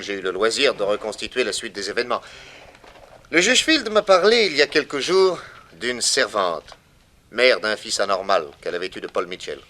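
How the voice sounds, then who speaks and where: Confident detective, animated intonation, low pitch, theatrical emphasis, 1960s French radio drama. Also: male voice. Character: shrewd, confident male private detective revealing the culprit. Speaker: detective hero and narrator.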